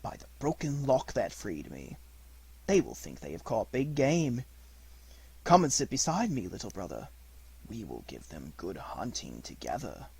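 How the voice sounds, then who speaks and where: Guy doing a menacing male panther for a storybook, measured and imperious, kinda husky, dry recording. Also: on the low side, huge pitch swings, darkish tone, no echo, full character voice, rough recording. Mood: proud, menacing.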